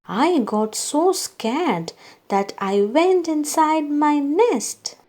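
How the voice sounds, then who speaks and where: Female read-aloud storyteller for small children. Very unhurried pacing, animated intonation, scripted character dialogue. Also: high voice, music in the background. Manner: slow, deliberate delivery for young children.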